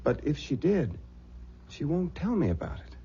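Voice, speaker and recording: An actor playing a concerned, reassuring young bridegroom in an old-time radio drama, gentle and a little hesitant. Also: male voice, on the high side, sing-song, moderate pace, slightly rough, heavily acted. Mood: worried, hesitant. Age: young adult. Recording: dull, faintly noisy radio recording.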